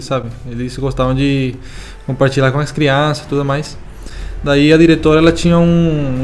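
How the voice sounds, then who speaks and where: A nineteen-year-old man from Venezuela, a Spanish native speaker with a Venezuelan accent, telling his story as a songwriter in a relaxed, halting way full of fillers. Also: mid-range voice. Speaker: young songwriter. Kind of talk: casual interview answers.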